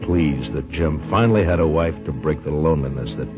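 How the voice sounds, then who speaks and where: Brief: calm, authoritative lawman narrator, low voice, muffled old radio. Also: a little fast. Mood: narrating. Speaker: lead actor and narrator. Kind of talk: 1950s radio drama acting. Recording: dull 1950s radio recording with music.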